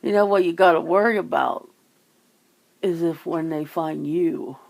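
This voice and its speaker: A woman's voice: on the low side, a little slow, creaky, darkish tone, rough recording. A woman in a casual, rambling chat, musing informally as she hosts. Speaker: ASMR channel host chatting with a guest.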